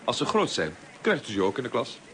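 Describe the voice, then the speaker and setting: An actor playing a kindly, jovial, gently teasing middle-aged husband in a radio drama. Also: male voice, medium pitch, wildly swooping pitch, brisk. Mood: fond. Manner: jovial, sociable. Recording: noisy old radio play recording.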